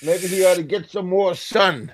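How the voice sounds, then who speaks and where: A man's voice: high-pitched, normal speed. A man chatting casually on a live show, teasing and easygoing as co-host.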